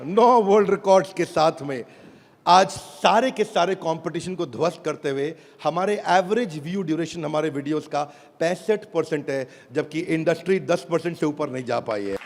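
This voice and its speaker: A man giving an energetic stage keynote to a live audience, emphatic and crowd-rousing, calling out for responses. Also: fairly high pitch, moderate pace, gravelly. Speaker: motivational business speaker launching an app. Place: large auditorium with a live audience. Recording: reverberant hall, crowd and noise.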